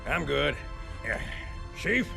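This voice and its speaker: Creaky, expressive marine sergeant. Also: male voice, a little high, slow, over music, heavily acted. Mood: gruff. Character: tough, animated male marine sergeant. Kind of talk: animated game cutscene acting.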